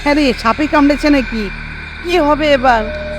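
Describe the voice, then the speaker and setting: A man's voice: extremely high pitch, measured pace, over music. A man playing a young village lad in a cartoon horror story. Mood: frightened.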